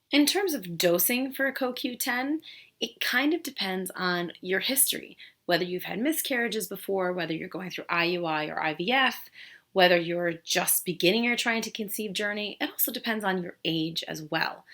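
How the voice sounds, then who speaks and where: A woman in her thirties hosts her own fertility vlog, slightly hoarse because she is losing her voice. Her voice sits a little low but comes across bright and forceful. She explains things earnestly, with emphatic phrasing. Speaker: fertility channel host. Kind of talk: educational vlog talk.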